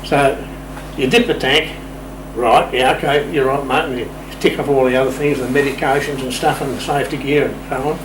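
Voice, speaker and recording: A man's voice: medium pitch, fairly quick, few pauses. An older Australian man being interviewed, telling anecdotes in a dry, wry, plain-spoken way. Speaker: interview guest, sailing official. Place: indoor room, radio shack. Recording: roomy, distant mic, steady hum.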